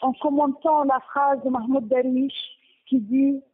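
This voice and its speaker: A woman from Gaza, speaking haltingly with many restarts, giving a personal testimony and partly reading her own text. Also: sits high, fairly even intonation. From Gaza, Palestine. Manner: halting, with frequent restarts and pauses. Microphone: telephone. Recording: tinny phone line.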